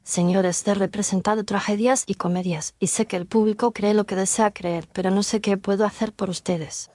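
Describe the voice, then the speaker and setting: A woman's voice: mid-range voice, fairly even intonation, moderate pace, a little mellow, no echo, studio quality, natural voice. A woman playing a young, humble touring actress in an audio drama, speaking earnestly and candidly. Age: young adult.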